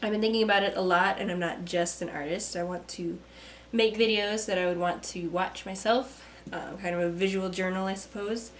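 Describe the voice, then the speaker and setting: A young woman, a mother and artist, talking to camera from a script in a soft, reflective vlog; she sounds stuffy and congested. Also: mid-range voice, steady tone, normal speed, few pauses, a little hiss or hum. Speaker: vlogger, artist. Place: home. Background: kids playing.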